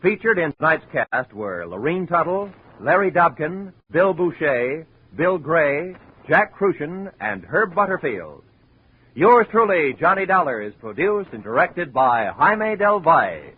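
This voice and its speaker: Male radio announcer, lively swooping pitch, husky edge, dramatic 1950s delivery, muffled old broadcast. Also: measured pace.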